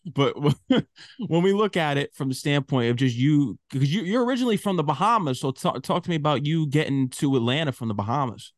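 A man's voice: on the high side, lively intonation, brisk, no room sound, studio quality. A man hosting a hip-hop interview show, asking questions in an agreeable, conversational way. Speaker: radio show host.

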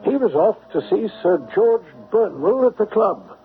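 Detective's companion narrating. High-pitched, measured storytelling on a narrow old radio recording. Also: male voice, animated, gravelly, faint music behind, full character voice. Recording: phone-like old radio recording, faint noise.

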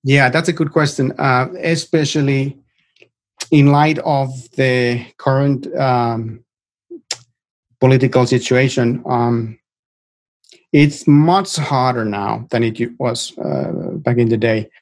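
A man's voice: medium pitch, steady tone, slow. Middle-aged man with a Finnish accent. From Finland. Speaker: interview guest, experienced tech professional. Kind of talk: informal interview answers.

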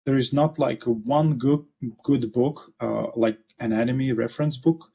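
A man in his forties with a Latvian accent, a guest author in a casual interview, speaking haltingly with many fillers and restarts over a video call. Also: mid-range voice, vocal fry, breathy edge. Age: 40s. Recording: muffled lo-fi video call audio.

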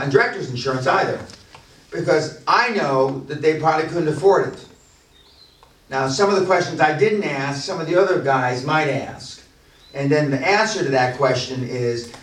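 A man leading a business seminar, talking bluntly and boastfully with plenty of profanity and restarts. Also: mid-range voice. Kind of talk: unscripted seminar talk to a room. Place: large echoey room at a seminar venue. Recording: echoey room, distant mic.

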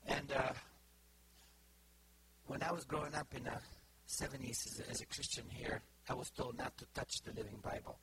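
Bulgarian-accented preacher, halting and hesitant. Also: male voice, mid-range voice, steady tone, sharp tone, a little hiss or hum, low-quality recording. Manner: halting, many fillers and restarts. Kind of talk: informal sermon to a congregation.

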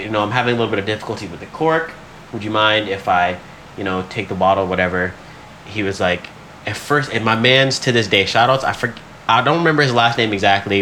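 A man's voice: fairly low pitch, bright, forceful tone, slight background noise, low-quality recording. A man telling stories in casual podcast banter, slangy and profane, often acting out what people said. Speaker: podcast co-host.

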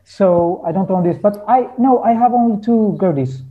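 Guy chatting in an interview, pretty high voice, feels around for words, muffled lo-fi sound. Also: moderate pace. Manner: hesitant, searching for words in non-native English. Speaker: interview guest, folk musician. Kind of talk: casual conversational interview.

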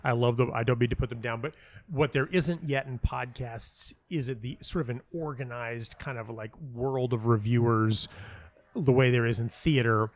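A man talking casually as an interview guest, telling anecdotes in an animated, emphatic way and often repeating himself for stress. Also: medium pitch, normal speed. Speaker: interview guest, playwright and audio dramatist.

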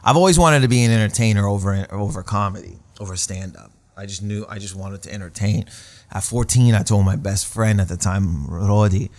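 A man's voice: lowish voice, fairly quick, darkish tone. A man in his late thirties from Canada, a stand-up comedian telling stories in a casual interview, rambling and self-deprecating with slangy asides. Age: 30s.

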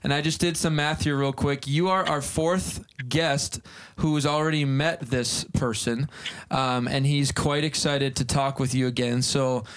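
A man's voice: medium pitch, fairly even intonation, measured pace, fairly bright tone, clean tone. A man hosting a casual podcast interview, telling a personal story in a meandering way with lots of fillers. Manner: rambling storytelling with many fillers. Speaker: podcast host.

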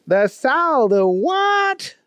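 A clueless, grubby grown man with yellowed teeth, pitched high and thin. He drawls slowly and sounds lost at every turn, in a dry, close storybook reading with a lively, bouncing pitch. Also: no room sound, full character voice. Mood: bewildered. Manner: slow, bewildered drawl. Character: dim-witted slobby grown man with bad teeth.

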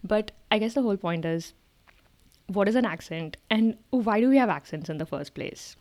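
A woman's voice: medium pitch, animated, normal speed, a little mellow. A woman in her thirties with an Indian accent, co-hosting a podcast in a casual chat, explaining things with fillers and laughs. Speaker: podcast co-host.

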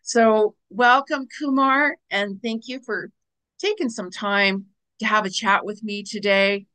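Bright, enthusiastic woman podcast host. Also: medium pitch, steady tone, measured pace, low-quality recording. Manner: enthusiastic, promotional. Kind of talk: podcast interview intro.

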